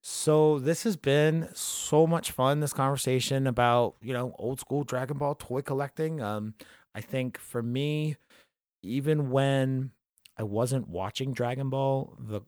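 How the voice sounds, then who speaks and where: A man hosting a podcast, talking casually in a relaxed, rambling way with lots of fillers and restarts. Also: medium pitch, a little slow, no echo, studio quality. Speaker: podcast host. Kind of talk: casual chat.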